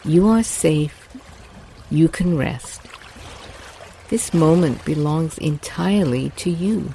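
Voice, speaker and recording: A woman leads a guided meditation in a soft, mellow voice, taking her time over every phrase. Her delivery is slow and soothing, with gentle water sounds faintly behind her. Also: low-pitched, a little hiss or hum.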